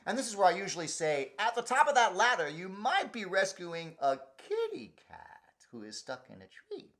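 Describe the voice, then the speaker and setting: A man's voice: high-pitched, wildly swooping pitch, normal speed, a bit bright. A man, a children's performer chatting casually and animatedly as he introduces stories. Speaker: children's music performer and storyteller. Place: daycare.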